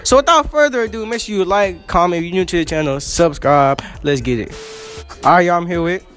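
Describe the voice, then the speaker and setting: A man's voice: sits high, a little fast, fairly bright tone, faint music behind. A teenage boy hosting casual school hallway interviews, joking and teasing in slang, holding a phone as a mic. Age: teens. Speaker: student interviewer, channel host. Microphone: handheld phone mic.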